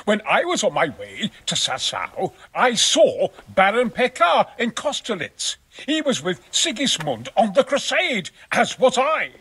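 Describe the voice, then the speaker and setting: Scared paranoid noble in a game, really high sharp voice, husky, pleading and dramatic. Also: male voice, wildly swooping pitch, unhurried, hardly any pauses, exaggerated voice. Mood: fearful, dread. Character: frightened, paranoid nobleman hiding from would-be assassins. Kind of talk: video game cutscene acting.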